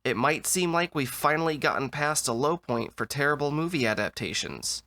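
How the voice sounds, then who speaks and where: A man narrates a scripted comedic video essay with dry, sarcastic deadpan. His voice sits a little high and is bright and crisp-edged, with very lively rises and falls over music. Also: moderate pace.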